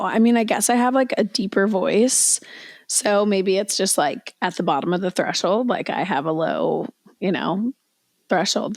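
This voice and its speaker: A young woman co-hosting a podcast, hoarse and raspy from losing her voice, chatting casually with lots of "like" fillers. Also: on the low side, no echo. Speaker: podcast co-host. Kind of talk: casual chat.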